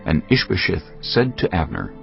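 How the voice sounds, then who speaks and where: A male narrator reads scripture in a very low, gravelly voice. His pitch moves expressively through the scripted storytelling. Faint music plays behind a slightly dull recording. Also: huge pitch swings, normal speed. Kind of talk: scripted dramatized Bible narration.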